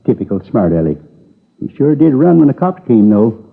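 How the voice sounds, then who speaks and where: An actor playing a shaken middle-aged robbery victim in a 1950s radio police drama, plaintive and husky. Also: male voice, mid-range voice, very animated, moderate pace, full character voice. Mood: uneasy. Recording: tinny old radio broadcast.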